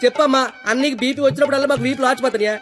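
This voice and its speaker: High-pitched, complaining comic stage player. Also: male voice, steady tone, rapid-fire. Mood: exasperated. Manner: exclaiming, colloquial, argumentative. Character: cheeky, complaining amateur stage actor in mythological costume. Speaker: actor in a film comedy scene. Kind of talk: Telugu film comedy acting. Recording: film audio under loud music.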